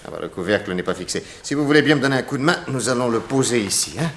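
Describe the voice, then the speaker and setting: An actor playing a brisk, watchful detective in a 1960s French radio serial, giving urgent, conspiratorial orders. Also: male voice, medium pitch, expressive, fairly bright tone. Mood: businesslike. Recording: noisy old radio recording with clicks.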